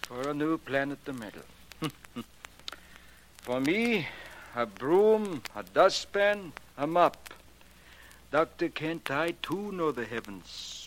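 A man's voice: fairly high pitch, fairly bright tone. A man playing a humble, earnest foreign janitor who believes in astrology, speaking formal English in a 1940s radio drama. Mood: humble, curious. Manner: formal uncontracted English with ominous pronouncements. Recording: noisy vintage 1940s radio recording.

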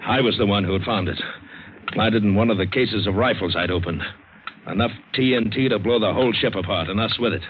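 An actor playing a hard-boiled, cynical adventurer who narrates an old radio drama, terse and bitter. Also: male voice, on the low side, a little fast, noisy background, natural voice. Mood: tense, suspenseful. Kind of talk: 1940s-50s radio drama narration and acting. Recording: dull old radio broadcast with music.

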